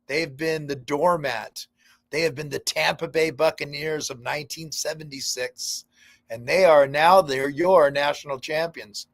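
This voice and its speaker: Man, podcast host, casual joking banter, fairly high voice, dry sound, backyard studio. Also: steady tone, a little slow, fairly bright tone, no room sound. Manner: loose, joking sign-off banter. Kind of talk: casual live podcast chat.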